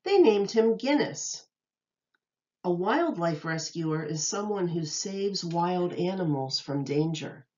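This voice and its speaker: A woman teacher reading a children's nonfiction book aloud, enunciating carefully and deliberately for young listeners. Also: fairly low pitch, lively intonation, dark tone, dull audio.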